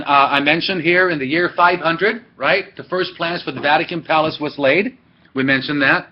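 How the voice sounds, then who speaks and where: A man's voice: medium pitch, a little hiss or hum. A man lecturing a class, rambling and correcting himself as he goes, recorded by a webcam. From Sweden. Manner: rambling, digressive, self-correcting. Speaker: teacher. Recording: dull lo-fi webcam audio.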